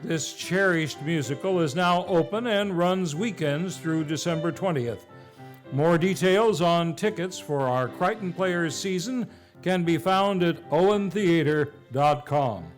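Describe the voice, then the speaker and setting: A man announces the closing credits of a live radio theater show. His voice is high for a man, and he reads from a script. Faint music plays behind him. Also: measured pace.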